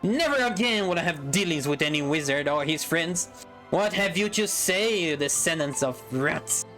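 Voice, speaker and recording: A man voicing a proud, wrathful dwarf king who shouts in anger. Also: on the high side, expressive, moderate pace, soft background music. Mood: grim. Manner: stern, shouting in rage.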